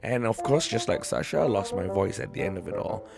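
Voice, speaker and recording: A man's voice: lowish voice, animated, a little fast, gravelly. A man with a Malaysian English accent, a voice actor speaking earnestly in an interview. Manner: earnest, heartfelt. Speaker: voice actor guest. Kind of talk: casual interview talk.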